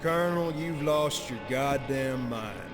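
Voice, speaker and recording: A man's voice: mid-range voice, a little slow, natural voice. A man playing an agitated, defiant gunslinger in a Western video game. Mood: exasperated. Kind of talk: dramatic video game acting. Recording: long reverb with music behind.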